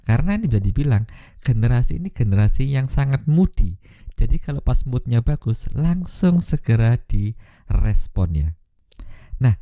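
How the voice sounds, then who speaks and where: A man's voice: medium pitch, warm tone, dry recording. A man presents a scripted educational video, instructing viewers directly. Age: 30s. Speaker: host of an insurance tips channel.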